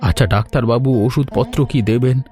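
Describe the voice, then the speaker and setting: A caring family man from an animated drama, played by a man. His voice sits at a middle pitch, with an anxious, protective manner over background music. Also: fast, lightly acted. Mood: concerned. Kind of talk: animated family story dialogue.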